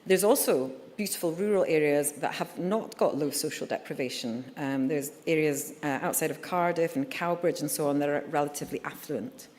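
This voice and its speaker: A woman's voice: deep voice, brisk, few pauses, a little mellow. A middle-aged Scottish woman, a surgeon giving a candid conference talk with frequent ums and uhs. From Scotland. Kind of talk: conference presentation. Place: conference hall. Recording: reverberant hall.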